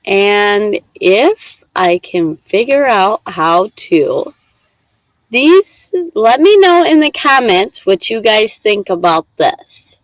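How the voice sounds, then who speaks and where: A woman's voice: mid-range voice, big pitch swings, takes it slowly, dry recording, rough recording. A middle-aged woman from Michigan hosting a casual live stream, talking in a chatty, rambling way while congested with a head cold. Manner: chatty, rambling, reads chat aloud.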